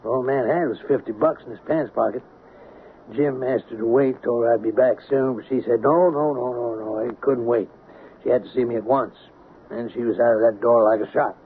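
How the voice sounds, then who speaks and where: Actor as a folksy sheriff on crackly old radio. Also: male voice, mid-range voice, a little fast, lightly acted. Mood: puzzled, chatty. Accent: American. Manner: folksy, hesitant, rural turns of phrase. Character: folksy, plain-spoken small-town male sheriff, apologetic but probing. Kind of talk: old-time radio drama acting. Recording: narrow, noisy old radio broadcast.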